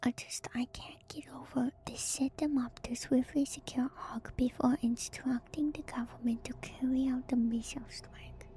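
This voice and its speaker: A woman streamer speaking in a soft, breathy ASMR whisper, reading aloud and adding casual, musing comments. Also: sits high, fairly even intonation, moderate pace, few pauses. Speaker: streamer and host. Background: music.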